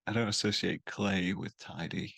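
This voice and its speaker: A man's voice: low voice, fairly even intonation, normal speed, creaky, fairly bright tone, slightly rough, no room sound. A man hosting a podcast with a nasal voice, chatting in an easygoing, self-deprecating way over a video call. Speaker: podcast host. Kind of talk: casual interview chat.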